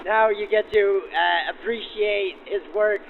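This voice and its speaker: A man's voice: high voice, fairly even intonation, measured pace, gravelly, phone-quality sound, a little hiss or hum. A man working as host and interviewer, presenting in the manner of a 1940s radio reporter. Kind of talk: scripted narration and field interviews backdated to 1940s radio. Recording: band-limited 1940s radio-style sound.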